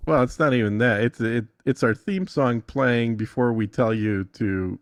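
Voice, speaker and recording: A man's voice: mid-range voice, moderate pace, no room sound, clean studio sound. A man from the southern US talking casually and explaining things as a podcast guest.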